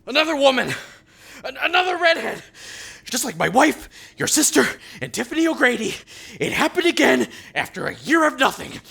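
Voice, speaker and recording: Volatile bereaved husband, high and creaky, obsessive, distraught audio-drama delivery. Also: male voice, sing-song, moderate pace, bright, forceful tone, heavily acted. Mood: agitated grief. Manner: distraught, repetitive, explosive. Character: volatile, grief-stricken widower, agitated and obsessive.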